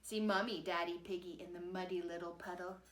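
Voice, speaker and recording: A woman's voice: lowish voice, steady tone, fairly quick, reverberant. A woman narrating a rhyming children's story in a playful, rhythmic singsong. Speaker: storybook reader.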